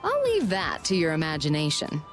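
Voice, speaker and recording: A woman playing a shrewd, confident merchant guild president in a video game, brisk and polished in delivery. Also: sits low, wildly swooping pitch, soft background music. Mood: persuasive, confident. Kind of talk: video game dub acting.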